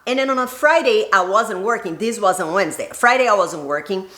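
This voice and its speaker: A woman's voice: medium pitch, expressive, fairly quick, a bit bright. A woman from Brazil telling a personal story to camera in a chatty, laughing way. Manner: chatty, laughs often, playful quoted voices. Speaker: vlogger. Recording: echoey room.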